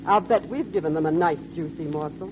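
A woman's voice: sits low, brisk, noisy background, lightly acted. An actress playing a troubled, well-bred married woman in 1940s radio melodrama style. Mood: wry, light. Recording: dull old radio recording, loud music.

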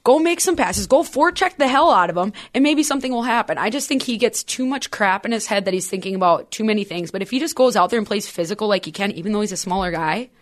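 Animated woman chatting hockey. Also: medium pitch. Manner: animated, quick to joke and tease. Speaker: podcast co-host. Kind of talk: casual sports chat.

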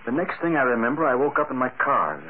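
A man's voice: medium pitch, big pitch swings, quick pace, phone-quality sound. Hesitant, shaken man, old radio. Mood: explaining. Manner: hesitant, explaining. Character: shaken, rambling male kidnap victim. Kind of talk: old-time radio drama acting. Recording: thin old radio recording, slight noise.

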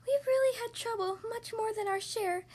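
A woman's voice: extremely high pitch, moderate pace, darkish tone, pitched above the performer's own voice. A woman voicing a tiny, frantic small-town mayor in a storybook, pleading urgently. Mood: pleading, distressed.

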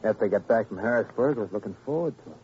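Man acting a surly, low-voiced American prizefighter. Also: animated, very fast, lightly acted. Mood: defiant. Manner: laconic, defiant. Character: surly, cocky male prizefighter, slow and menacing. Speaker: supporting actor. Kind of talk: 1950s radio crime drama acting. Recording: muffled, faintly noisy old radio recording.

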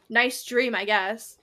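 High-voiced woman chatting casually. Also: a bit bright. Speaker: book podcast co-host. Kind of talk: casual unscripted book chat.

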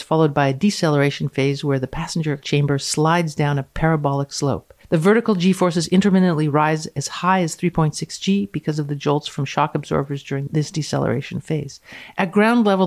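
A woman reading a scientific paper aloud in a measured, precise way with dry amusement, as a podcast guest. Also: deep voice, darkish tone.

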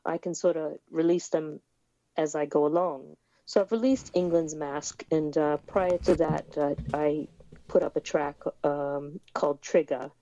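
A woman's voice: sits low, steady tone, unhurried, dark tone, dry recording, lo-fi sound. A woman raised in the United States, a musician guest in a remote interview, talking hesitantly with many fillers and restarts.

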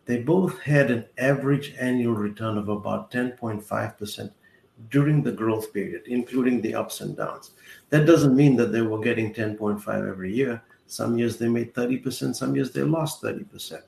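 A man in his sixties, a retirement adviser guest explaining finances in a measured, methodical way over a video call. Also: mid-range voice, vocal fry, darkish tone. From South Africa. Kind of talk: explanatory interview answers. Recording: roomy call audio with faint hiss.

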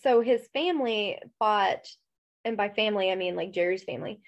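A woman's voice: medium pitch, fairly quick, lo-fi sound. A woman co-hosting a true-crime podcast, chatting casually with a stuffy nose, rambling with lots of fillers and laughs. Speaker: podcast co-host.

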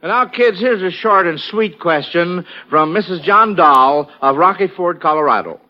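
A man's voice: sits high, expressive, normal speed. A man with an American accent hosting a 1940s radio quiz in a folksy, encouraging way, often echoing contestants' answers. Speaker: quiz show host. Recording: muffled, noisy vintage radio recording.

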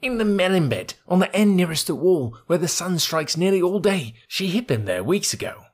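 Man doing a boastful little songbird, pitched way up, husky, chirpy and fawning. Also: moderate pace, full character voice. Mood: excited, boastful. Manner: sing-song, excitable, flattering. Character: silly male tailorbird, chirpy and boastful.

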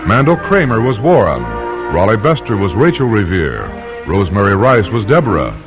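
Casting: male announcer, formal old-time radio credits style, lively intonation, music behind him. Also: on the low side, moderate pace. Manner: polished, emphatic. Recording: old radio broadcast recording. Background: orchestral music.

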